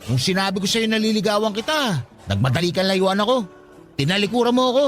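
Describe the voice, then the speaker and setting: Insecure lovesick young dude in radio drama, high voice, talks fast, kinda sulky and guarded. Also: male voice, sing-song, fairly bright tone, soft background music. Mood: hurt. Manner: sulky, guarded, self-pitying. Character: short, insecure, lovestruck young male fast food worker. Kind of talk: Tagalog radio romance drama acting.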